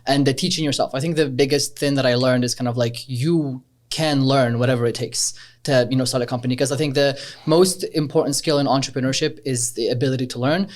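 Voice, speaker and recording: A man in his twenties, originally from Algeria, talking casually as a startup co-founder in an interview, relaxed and rambling with many fillers. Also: medium pitch, a little fast. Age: 20s.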